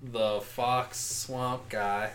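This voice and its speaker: A man's voice: medium pitch, fairly even intonation, drawn-out words, a bit bright, slight background noise. A man from Maryland with a Maryland accent, chatting loosely as a podcast host, rambling with lots of fillers. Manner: laid-back, rambling with fillers. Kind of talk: casual chat.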